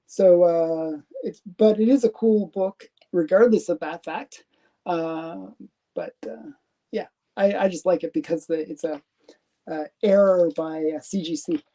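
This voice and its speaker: Mellow-voiced Canadian woman rambling casually. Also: fairly low pitch. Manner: rambling, halting, many fillers and restarts. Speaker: comic collector host. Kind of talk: casual live stream show-and-tell.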